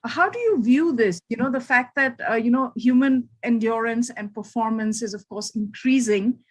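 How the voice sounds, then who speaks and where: Slightly high-voiced woman interviewer. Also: moderate pace. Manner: conversational, hesitant with fillers. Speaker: interviewer, host. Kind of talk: interview questions.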